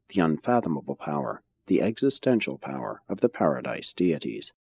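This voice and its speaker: A man narrating a religious audiobook in a measured, solemn way with deliberate phrasing. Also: lowish voice, gravelly, dark tone, breathy edge. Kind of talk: scripted narration, religious text reading. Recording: dull, muffled audio.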